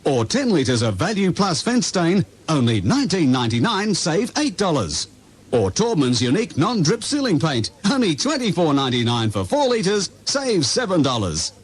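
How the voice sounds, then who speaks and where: An Australian man delivers a hard-sell radio ad. His high, husky voice swings dramatically up and down as he announces the offers. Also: big pitch swings, normal speed. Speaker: retail advertisement announcer.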